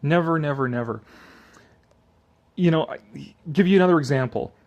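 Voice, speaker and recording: Exasperated man ranting, slightly high-pitched voice. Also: moderate pace, muffled recording. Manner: mocking, exasperated, profane, emphatic repetition. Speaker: video commentator reading an article aloud. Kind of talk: dramatic reading with informal ranting commentary.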